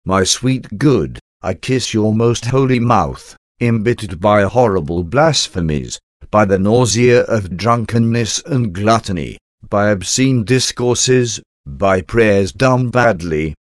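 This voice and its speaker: A man's voice: on the low side, dry recording, studio quality. A man reading a devotional prayer aloud in an earnest, pleading, reverent way. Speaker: devotional reader.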